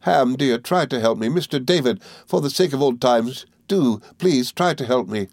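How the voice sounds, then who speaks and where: A man's voice: medium pitch, fairly even intonation, brisk, no room sound, studio quality, voice pushed up, lightly acted. A man voicing a tearful young woman in a storybook, agonised, repetitive and full of self-reproach as she pleads. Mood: desperate pleading.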